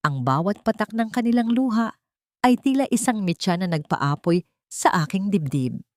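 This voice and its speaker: A woman's voice: on the low side, darkish tone, dry recording, studio quality. A woman reading scripted, dramatic narration as a storyteller.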